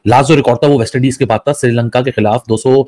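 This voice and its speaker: A man from Pakistan analysing cricket in a fast, reasoned, rhetorical way. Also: medium pitch, fairly even intonation, a little mellow, dry recording.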